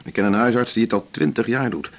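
An actor playing a reflective, principled male doctor in a naturalistic radio drama, speaking in a measured, world-weary way. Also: lowish voice, natural voice. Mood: resigned, sympathetic.

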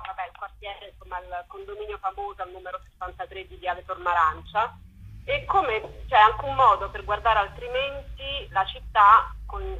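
A woman's voice: fairly low pitch, sharp tone, husky, lo-fi sound. A woman curator explaining a festival program in a radio interview, thinking aloud with frequent fillers.

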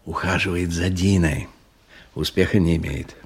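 A man's voice: low-pitched, fairly even intonation, normal speed, slightly rough. An actor playing a testy man in his fifties who reports formally to his superior in a radio drama, with irritable muttered asides. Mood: businesslike. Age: 50s. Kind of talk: Russian radio drama acting.

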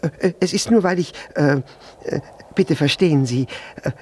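An actor playing a nervous, jealous, self-important Russian official in a German radio play, stammering and repeating himself. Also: male voice, fairly high pitch, moderate pace, warm tone, slight background noise, close to an everyday voice. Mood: nervous, apologetic. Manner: stammering, repeats words, breaks off.

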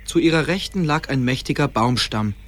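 A man's voice: mid-range voice, expressive, normal speed, a bit bright, lightly acted. A man narrating a radio play in a scripted storytelling style.

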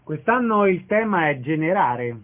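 Higher-voiced male radio host, narrow sound. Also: very animated, moderate pace. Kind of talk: scripted announcements and interview questions.

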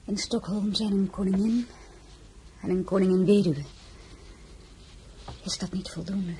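An actress playing an earnest, anxious younger Frenchwoman, the plain-spoken heroine of an audio drama, in a measured manner. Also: mid-range voice, fairly even intonation, dark tone, slightly rough, low-quality recording. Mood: quietly defiant. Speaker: actress and narrator. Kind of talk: acted audio drama with first-person narration.

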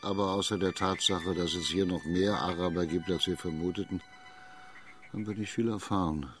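An actor playing a shrewd, authoritative imperial judge in a radio drama, speaking in a measured, formal, deliberate manner. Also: male voice, sits low, steady tone, music in the background, lightly acted. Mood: pondering, measured.

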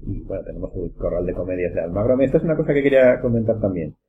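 A man's voice: sits low, very fast. A man with a Castilian Spanish accent explaining his opinions in a casual group discussion. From Spain. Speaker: podcast host. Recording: muffled audio with faint hum and noise.

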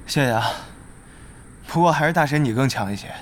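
A man acting in an audio drama as a polite, even-tempered young team captain and peacemaker. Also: medium pitch, brisk, a little hiss or hum, lightly acted. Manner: composed, polite.

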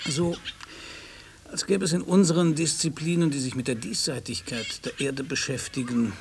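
An actor playing a pedantic, self-important schoolteacher in a radio play, creaky and musing in long wordy sentences. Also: male voice, a little high, measured pace, long pauses, a little hiss or hum. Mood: smug, musing. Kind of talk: German radio play acting.

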